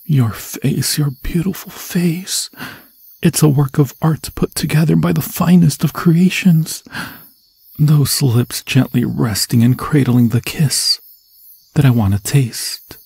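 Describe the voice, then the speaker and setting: A man voicing an obsessed undead forest demon in an ASMR fantasy roleplay, seductive and menacing, with a husky, breathy voice that often drops to a whisper and slow, theatrical, intimate phrasing. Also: fairly high pitch, a little mellow, no echo, heavily acted. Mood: adoring, possessive. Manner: slow, theatrical, intimate, crooning endearments in archaic formal phrasing.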